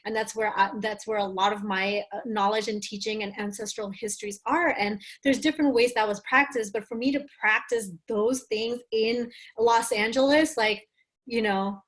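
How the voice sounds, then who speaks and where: A woman's voice: mid-range voice, fairly even intonation, moderate pace. A woman from Bangladesh, a wellness teacher answering on a webinar panel over a video call, talking earnestly and thoughtfully with frequent casual fillers.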